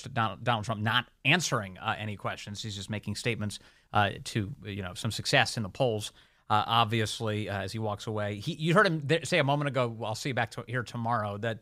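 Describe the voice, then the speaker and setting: An American man hosting a talk radio show, giving opinionated off-the-cuff news commentary in a halting delivery. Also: medium pitch, bright, forceful tone, dry recording, studio quality.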